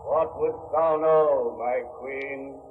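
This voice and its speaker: An actor playing a grave spirit enslaved in a magic mirror, intoning archaic lines in 1930s radio style. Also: male voice, medium pitch, steady tone, drawn-out words. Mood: solemn, grave. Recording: muffled, noisy old 1930s radio broadcast.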